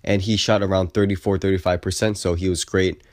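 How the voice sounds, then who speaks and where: Congested young man, deep dry voice. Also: flat delivery, brisk, dry recording. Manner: easygoing, repeats "I definitely think". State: congested from a cold. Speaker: basketball video host. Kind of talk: casual sports recap commentary.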